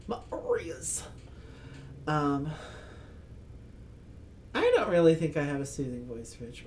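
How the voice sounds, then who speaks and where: Man musing slowly through a board game. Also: a little high, slight background noise. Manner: thinks aloud, chuckling, self-mocking asides; speaks as a loud, projecting voice. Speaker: board game channel host. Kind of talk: casual solo playthrough commentary.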